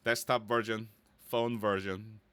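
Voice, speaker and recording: A man's voice: lowish voice, little pitch movement, unhurried, fairly bright tone, clean tone, dry recording. A man giving a casual, playful tutorial, thinking aloud as he goes and often checking in with the listener. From Korea or United States. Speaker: voice actor giving a website tutorial.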